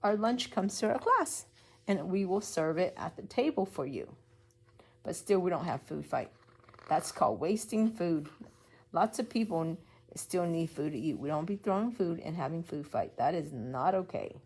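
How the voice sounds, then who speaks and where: A preschool teacher, a woman, reads a picture book to young children in an animated, instructive way, asking questions and explaining rules simply. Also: fairly low pitch, normal speed. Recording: clearly noisy room recording.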